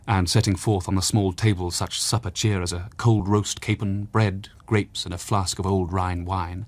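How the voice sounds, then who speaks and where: An actor playing an eloquent Victorian storyteller, reading literary passages in a measured, expressive and dramatic way. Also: male voice, on the low side, no echo, close to an everyday voice. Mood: eerie, atmospheric. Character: Charles Dickens, eloquent Victorian storyteller recounting memories. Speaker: reader of Dickens passages.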